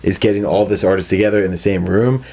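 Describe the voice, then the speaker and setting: A man's voice: low voice, steady tone, fast talker, creaky, darkish tone. A man hosting a casual comedy podcast, telling profane, jokey stories and acting out the dialogue, on a recording with clicks. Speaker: podcast host.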